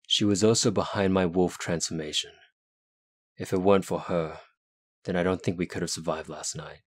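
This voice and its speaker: A man voicing a young werewolf who speaks calmly and firmly. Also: low voice, normal speed.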